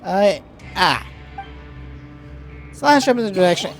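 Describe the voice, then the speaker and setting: A game streamer comments casually while playing. The delivery is halting and half to themselves, with lively pitch swings. Music is clearly heard behind the voice.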